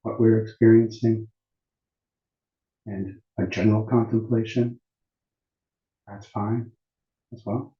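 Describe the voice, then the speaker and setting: A man teaching meditation at a retreat in a calm, deliberate, unhurried way with long pauses between phrases. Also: fairly low pitch. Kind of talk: guided meditation instruction at a retreat. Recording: muffled, roomy echoing sound.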